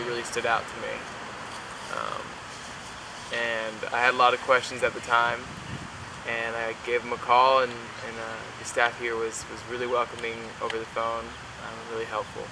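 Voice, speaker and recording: A young man from Oklahoma answers casually, pausing and restarting often. His voice stays flat and even. Loud traffic and ambient noise sit under him. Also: mid-range voice. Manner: halting, many fillers and restarts. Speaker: worship internship graduate.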